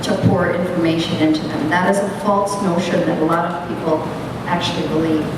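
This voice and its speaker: A woman teacher educator giving an earnest conference lecture, speaking emphatically and putting questions straight to the audience. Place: large echoey lecture hall. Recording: echoey hall, distant mic, rumbling noise.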